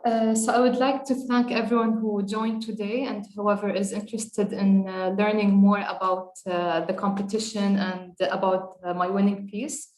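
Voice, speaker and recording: A young woman explains her design piece over a video call, filling pauses with uh as she goes. Her voice sits at a middle pitch, and the room around her rings with echo. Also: flat delivery, darkish tone. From Syria. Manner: hesitant, many uh fillers, measured explaining. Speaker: architect and past prize winner presenting her work. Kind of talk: presenting slides in a webinar.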